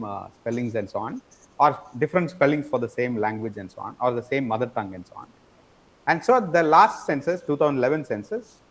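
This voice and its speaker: A man gives an online lecture in a fairly high, light voice. He explains patiently, repeats words as he searches for the next point, and keeps checking in with his students. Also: poor audio. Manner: explanatory, frequent tag question "right?". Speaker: teacher.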